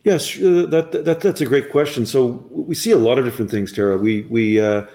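A man's voice: medium pitch, fairly quick, mellow. Eager man explaining, stumbling restarts. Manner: eager, repeats words and restarts often. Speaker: company representative. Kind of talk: conversational business interview.

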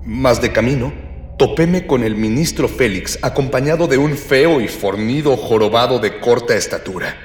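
A man's voice: medium pitch, moderate pace, natural voice. An actor playing a florid ex-policeman who narrates a radio serial in a grandiloquent, mock-archaic manner. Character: florid ex-policeman narrator, male companion of the hero. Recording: reverberant, music underneath.

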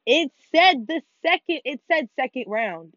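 A teenage girl co-hosting a casual gaming podcast with friends, talking fast, swearing and running herself down, with excited outbursts. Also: sits high, no echo. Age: teens. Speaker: podcast co-host. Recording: muffled dull sound, faint music behind.

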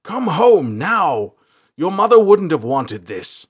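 A man's voice: mid-range voice, animated, normal speed, close to an everyday voice. A man voicing an overbearing, menacing king and father, with an imperious, accusatory delivery in a fantasy audiobook. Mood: furious. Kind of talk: dramatic fantasy audiobook character.

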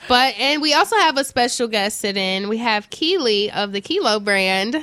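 Loud, upbeat woman radio host. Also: a little high, lively intonation, moderate pace, clear, resonant tone, no room sound. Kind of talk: casual talk show chat. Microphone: studio mic.

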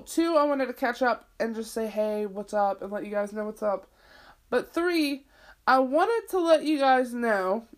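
A woman in her twenties hosting her own podcast, rambling casually, swearing freely and leaning on "like," with a sniffly, itchy throat. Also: a little high. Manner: chatty, swears freely, lots of "like". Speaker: podcast host.

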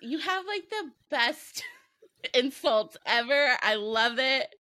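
Woman, Kansas American accent, podcast co-host, giggly, unhurried speech, dry close sound. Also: high voice, animated, pushed and bright, no room sound. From Kansas, USA. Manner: giggly, enthusiastic, laughs often. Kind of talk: casual podcast chat.

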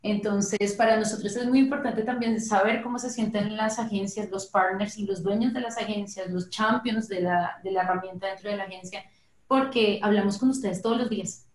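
Woman, podcast host, casual interview talk, restarts phrases, middle pitch, echoey room. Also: steady tone, moderate pace. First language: Portuguese. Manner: warm-hearted, repeats herself, restarts phrases.

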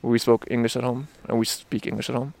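A man's voice: mid-range voice, flat delivery, fast, gravelly, a little mellow, no room sound. A man in his twenties, born in Japan and raised in Luxembourg and Barcelona, chatting casually as an interview guest outdoors in a park, with lots of fillers and restarts. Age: 20s. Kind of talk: casual conversation.

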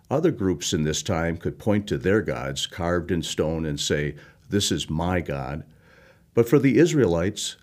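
A man's voice: deep voice, darkish tone. A man reading scripture and a written devotional reflection from a script. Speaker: devotional reader.

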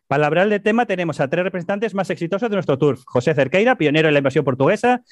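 Male podcast host, quick and fairly high-pitched, informal interviewing, dry recording. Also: animated, no echo.

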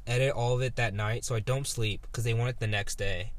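A man's voice: mid-range voice, steady tone, fairly quick, dry recording. A man in his twenties talking casually as a guest, laid-back and rambling with lots of fillers and restarts. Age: 20s. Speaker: guest photographer. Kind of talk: casual podcast chat.

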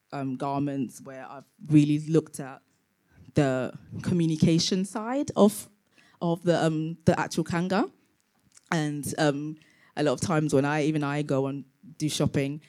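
A woman designer from Tanzania talks an audience through her work. She speaks haltingly, with many pauses and restarts, in a soft, dark-toned voice. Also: low-pitched. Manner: hesitant, many fillers and restarts. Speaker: fashion designer presenting her brand. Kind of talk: live presentation to an audience.

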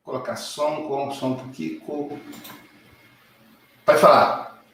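Guy hosting a live show, high voice, super echoey room, sounds far off. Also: steady tone, takes it slowly, gravelly, a little hiss or hum. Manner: warm, effusive introductions. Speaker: host. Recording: very echoey, distant from mic.

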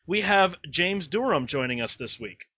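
Male podcast host, high voice, wisecracking asides, dry lo-fi audio. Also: expressive, moderate pace, sharp tone, slightly rough, no echo. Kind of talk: casual podcast hosting. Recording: dull lo-fi audio.

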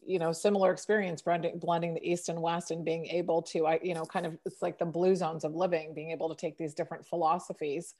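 Woman, interview host, enthusiastic, effusive, halting restarts, slightly low voice. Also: flat delivery, darkish tone. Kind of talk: interview conversation.

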